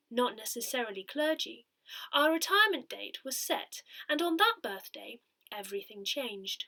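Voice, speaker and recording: Woman, high voice, very lively intonation, measured scripted reading. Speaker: volunteer reader of an audio newsletter. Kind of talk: reading aloud a church newsletter.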